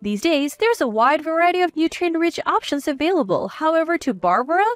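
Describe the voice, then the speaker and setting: A woman's voice: high voice, big pitch swings, a little fast, no room sound, faint music behind. A woman reading a scripted first-person story as its narrator.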